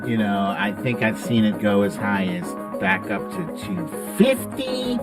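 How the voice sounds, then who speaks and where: A man's voice: on the low side, measured pace, loud music behind the voice. A middle-aged man hosting a casual livestream, chatting with viewers in colloquial, salty phrasing on a close broadcast mic. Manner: animated, colloquial, salty street-talk phrasing. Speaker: livestream host. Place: home studio room, indoors. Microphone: close broadcast mic on boom arm.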